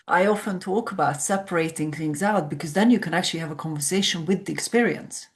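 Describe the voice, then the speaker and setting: Woman coaching calmly. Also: lowish voice, quick pace. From Hungary. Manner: explanatory, coaching, reflective. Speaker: sleep coach, guest. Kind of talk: podcast conversation.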